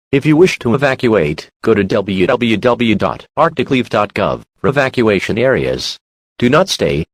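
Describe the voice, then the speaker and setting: Man as an animated-pitch emergency alert announcer. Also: dry recording, lightly acted.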